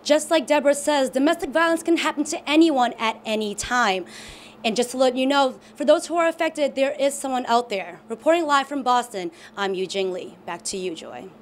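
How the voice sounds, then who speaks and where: A woman's voice: high voice, animated, a little fast, a little hiss or hum. A young woman reporter giving a scripted news report in an emphatic announcer manner. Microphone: handheld mic.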